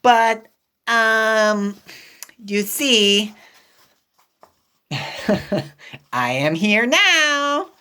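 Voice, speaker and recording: Woman voicing an eager, high-pitched little storybook pig. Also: animated, very slow, pauses often, bright, forceful tone, smooth, heavily acted. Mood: hesitant, earnest. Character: eager, caring young female pig, a devoted friend. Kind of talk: children's picture book read-aloud.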